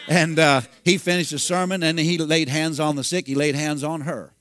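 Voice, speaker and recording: A man in his fifties preaching a sermon, telling a healing story and breaking into loud hallelujahs. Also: on the high side, dry recording. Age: 50s. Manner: storytelling, bursts into shouting and hallelujahs. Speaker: pastor.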